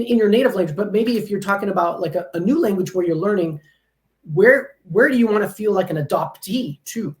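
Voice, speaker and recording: A man in a casual podcast conversation, talking at length with frequent ums and restarts. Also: high voice. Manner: rambling, many ums and restarts. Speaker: guest, language company founder. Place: lives in Taiwan.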